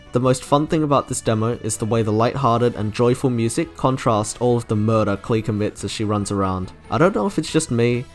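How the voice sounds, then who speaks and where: A man delivering scripted, enthusiastic review narration in an articulate, wordy way, like a video essayist. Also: mid-range voice. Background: music playing under the narration.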